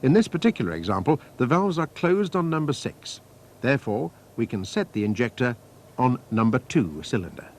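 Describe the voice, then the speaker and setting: Male narrator, scripted instructional delivery, highly varied intonation, precise and measured, faint noise of an archive film soundtrack. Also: sing-song, darkish tone. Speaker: narrator of a technical training film.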